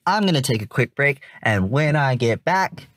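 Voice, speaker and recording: A man's voice: mid-range voice, expressive, moderate pace, fairly bright tone, dry recording, clean studio sound. A man hosting a podcast in a chatty, folksy way, speaking directly to his listeners. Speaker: podcast host. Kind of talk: conversational podcast commentary.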